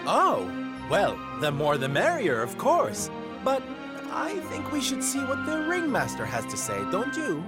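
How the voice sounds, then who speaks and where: A male actor playing a flamboyant, warm and peppy troupe leader in a video game, camp, theatrical and exclamatory. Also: sits high, huge pitch swings, unhurried, full character voice. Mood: coy, chatty. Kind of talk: video game cutscene voice acting. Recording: background music under the voice. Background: orchestral game music.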